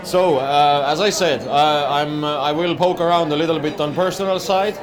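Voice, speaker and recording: A male interviewer asks questions in a slightly high voice, hesitating and padding with fillers. A crowd chatters loudly around him. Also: steady tone, moderate pace. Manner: hesitant, many fillers and encouraging murmurs. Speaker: festival interviewer. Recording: busy crowd noise behind.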